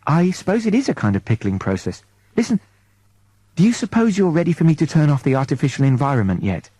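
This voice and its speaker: A man acts out a female nymph medic from a comic science-fiction audiobook, concerned and tactful. The delivery is careful and hesitant, with a mellow tone and a close, dry sound. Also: fairly high pitch, very animated, quick pace, husky, no echo. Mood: awkward, practical. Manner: hesitant, careful, matter-of-fact.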